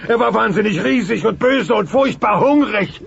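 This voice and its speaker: Blustering, panicky dinosaur dad, gravelly. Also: male voice, sits high, lively intonation, normal speed, a bit bright, exaggerated voice. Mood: panicked. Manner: stammering, repetitive, melodramatic. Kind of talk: German audio play dubbed cartoon acting. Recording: muffled, noisy old cassette transfer.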